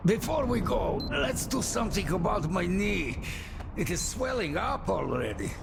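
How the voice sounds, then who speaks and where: Weary, wary survivor captain: heavy fry, slow delivery, wry game-cutscene drama. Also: male voice, high voice, very animated, noisy background, full character voice. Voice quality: gravelly. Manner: deliberate, wry, world-weary. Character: weary, distrustful older male captain of survivors. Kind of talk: cinematic video game acting.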